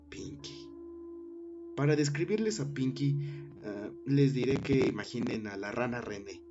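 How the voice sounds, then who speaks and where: A man narrating a scary story in a measured way, with dramatic pauses. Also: mid-range voice. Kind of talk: scripted horror story narration. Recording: dull lo-fi recording with music underneath.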